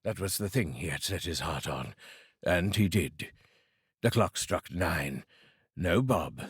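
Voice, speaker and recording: Man, from the United Kingdom, dramatic audiobook narration, low husky voice, dry close recording. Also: slow pace, no echo. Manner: theatrical and expressive, savouring phrases.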